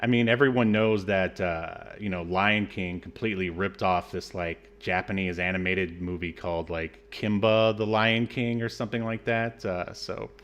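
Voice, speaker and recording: A man's voice: deep voice, fairly even intonation, normal speed, hardly any pauses, fairly bright tone. A man co-hosting a podcast, recapping a film in a casual, mocking and sarcastic way. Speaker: podcast co-host recapping a movie.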